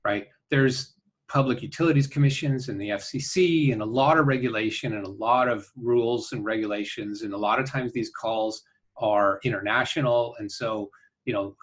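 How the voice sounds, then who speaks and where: Earnest man talking in halting bursts. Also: mid-range voice. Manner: earnest, halting, often checking with "right?". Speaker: interview guest, company founder. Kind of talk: conversational interview answers.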